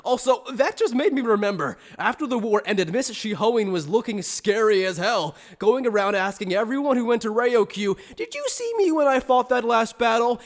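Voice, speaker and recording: Man playing an excitable anime reporter, swinging pitch. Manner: indignant outbursts, earnest questioning. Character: earnest, excitable male soul reaper journalist. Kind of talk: anime fan dub acting.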